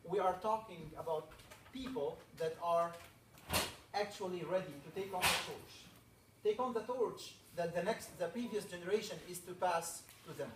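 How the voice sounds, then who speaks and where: A man's voice: high-pitched, moderate pace, noisy background. A man from Egypt giving an earnest, rallying opening talk to a camp audience, building up emphatic lists. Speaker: camp speaker. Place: camp meeting hall. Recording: echoey room, voice from a distance.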